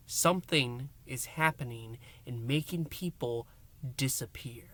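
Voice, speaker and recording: A man hosting a review, recapping a story in a theatrical, emphatic way with dramatic pauses and hushed words. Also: mid-range voice, dry recording. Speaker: podcast review host.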